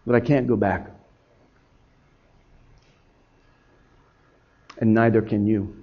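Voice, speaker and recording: Man playing anguished biblical disciple, dramatic monologue, high voice, huge swings from hush to cry, halting, guilt-ridden. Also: animated, dull audio. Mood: broken, hushed. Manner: halting, emotional, swinging from hushed to shouting.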